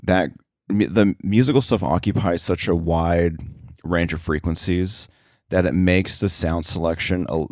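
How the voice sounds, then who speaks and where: A man speaking casually as an interview guest about his work, thinking aloud in a halting way. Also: low voice, fairly even intonation, a little mellow, dry recording, clean studio sound. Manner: halting, thinking aloud, frequent filler likes. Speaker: sound editor, interview guest.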